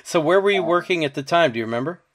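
A man's voice: fairly high pitch, lively intonation, racing, a bit bright. A man hosting a conversational interview, easygoing and prompting his guest with tag questions. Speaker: interviewer, podcast host.

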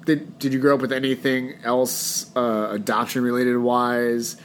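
A woman's voice: rumbling low, little pitch movement, a little slow, slight background noise. A woman host from New Jersey with a New Jersey accent, asking curious follow-up questions in a casual interview. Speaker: podcast host.

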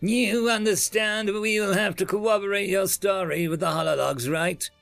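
A man's voice: high voice, steady tone, normal speed, creaky, a bit bright, soft background music, pitched above the performer's own voice. A man voicing a smug, acid-tongued diplomatic attaché who sneers and threatens in an audiobook. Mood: suspicious. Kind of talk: audiobook character dialogue.

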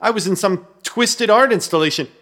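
A man's voice: high-pitched, normal speed, a bit bright. An actor narrating a horror-comedy radio play as a jittery, self-mocking everyman, telling the tale wryly with dramatic quoted outbursts.

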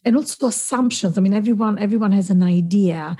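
A woman whose first language is Italian speaks in a warm, soft-edged voice with a breathy texture. She talks effusively in long, winding thoughts as a guest on a podcast. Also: mid-range voice, fairly quick. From southern Italy. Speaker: guest, founder of a storytelling network. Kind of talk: casual conversational interview.

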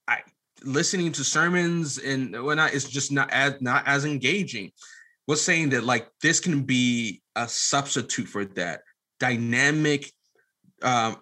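A man in his late twenties with a bright, forceful voice at an ordinary male pitch. He talks as an interview guest explaining his work, emphatic and halting, often doubling back to repeat the start of a phrase. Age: late 20s. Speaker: podcast guest, media app founder. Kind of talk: conversational interview answer, explaining a venture.

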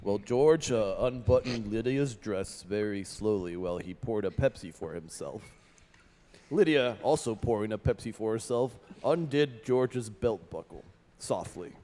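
A man doing improvised radio comedy, reading haltingly and breaking off flustered. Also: fairly low pitch, animated, warm tone, long reverb tail.

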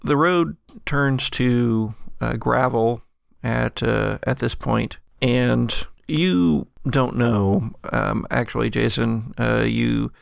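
A man with a creaky, gravelly voice narrating a tabletop horror game as game master, in a halting, deliberate way. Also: mid-range voice, steady tone, no room sound, clean studio sound.

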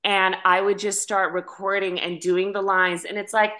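A woman's voice: mid-range voice, level tone, normal speed, bright, forceful tone. A woman actress chatting casually as a podcast guest, with emphatic phrasing. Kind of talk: casual chat.

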